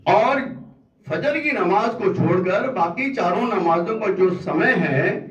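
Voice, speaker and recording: A man's voice: sits high, animated, slow. A man giving an impassioned, assertive public address, protesting emphatically and repeating phrases for stress. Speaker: community speaker at a meeting. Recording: echoey room, distant mic, dull sound.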